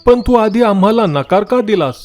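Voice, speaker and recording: A man's voice: high-pitched, lively intonation, normal speed, darkish tone, soft background music, pitched above the performer's own voice. A man voicing a puzzled, earnest male myna bird asking questions in a children's cartoon story. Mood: curious.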